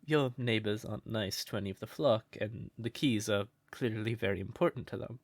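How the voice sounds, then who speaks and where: A man playing a shy, sweet, curious crow turned human in a roleplay audio, speaking gently and breathily with hesitant stammers. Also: fairly low pitch, a little fast, no echo. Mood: playful, proud. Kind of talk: soft roleplay audio, intimate character acting.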